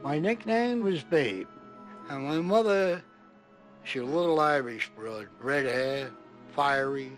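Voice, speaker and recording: A man's voice: a little high, lively intonation, takes it slowly, soft background music. An elderly American man, a war veteran, reminiscing in colloquial, plain words in an interview.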